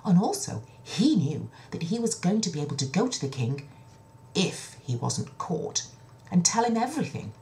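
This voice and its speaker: A woman storyteller with a low, gravelly voice tells a children's tale with big swoops of pitch. She is dramatic and chatty, often softening toward a breathy near-whisper. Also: sing-song, normal speed, a little hiss or hum. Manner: chatty asides to the listener. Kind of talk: children's story narration, told in own words.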